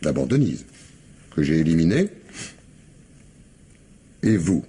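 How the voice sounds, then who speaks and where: An actor playing a shrewd, methodical police commissioner in a French radio crime drama, with a husky voice and an insistent manner. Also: male voice, rumbling low, very animated, takes it slowly, dark tone, exaggerated voice. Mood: insistent, accusing. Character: shrewd, relentless male police commissioner making accusations. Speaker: actor in an audio mystery play.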